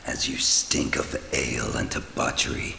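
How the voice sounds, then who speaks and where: Gravelly, abyssally deep devil. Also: male voice, fairly even intonation, a little hiss or hum, heavily acted. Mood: menacing, mocking. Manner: slow, deliberate, archaic grand speech. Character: menacing male devil, mocking, imperious and vengeful. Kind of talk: radio play acting. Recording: echoing voice under loud music and rain.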